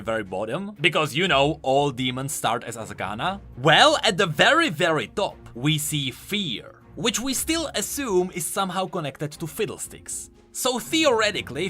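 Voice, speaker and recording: A man's voice: fairly high pitch, sharp tone. A man giving enthusiastic, explanatory commentary on video game lore, leaning hard on key words for emphasis.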